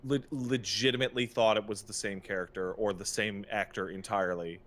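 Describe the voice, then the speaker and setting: A man's voice: fairly low pitch, fairly even intonation, fairly quick, a bit bright. A man co-hosting a podcast, talking casually and with opinions about games, stressing his points emphatically. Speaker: podcast co-host.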